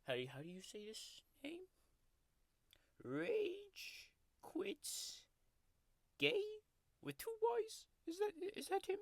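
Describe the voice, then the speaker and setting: A woman's voice: deep voice, no echo, full character voice. A woman voicing a grumpy, foul-mouthed male tiger-like creature who whispers and mocks while reading a bedtime story as comedic ASMR. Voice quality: whispery, crude and mocking.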